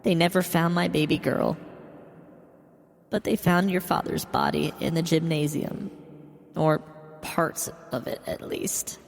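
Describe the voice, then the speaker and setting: A man voicing a bitter, grieving old mother in a horror story, wounded and resentful, confessing haltingly. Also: on the high side, steady tone, vocal fry, pitched above the performer's own voice. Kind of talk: dramatic horror story reading. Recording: long hall reverb. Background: music.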